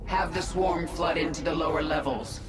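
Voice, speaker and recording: A woman playing a grim, ruthless alien swarm queen in a video game, speaking in a cold, deliberate, commanding way. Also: low-pitched, steady tone, noisy background, close to an everyday voice. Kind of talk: dramatic video game acting. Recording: echoey, game music behind.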